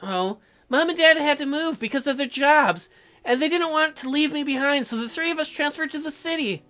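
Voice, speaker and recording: A man's voice: very high-pitched, brisk, pushed and bright, voice pushed up. A man voicing a sweet, apologetic teenage girl, reading visual novel dialogue aloud. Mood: explaining.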